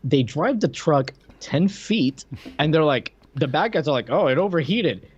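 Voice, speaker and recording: A man's voice: fairly high pitch, animated, a little slow, slightly rough. A man co-hosting a podcast, chatting casually and picking at details in an incredulous way. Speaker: podcast co-host. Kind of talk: casual chat, commentary. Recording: dry, slightly dull sound.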